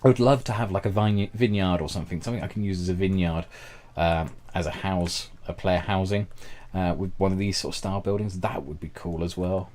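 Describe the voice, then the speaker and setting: Lowish-voiced streamer going hoarse. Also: male voice, steady tone, a little fast, darkish tone. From Britain. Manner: chatty and enthusiastic, thinks aloud. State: slightly hoarse, losing his voice. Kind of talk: casual gameplay commentary.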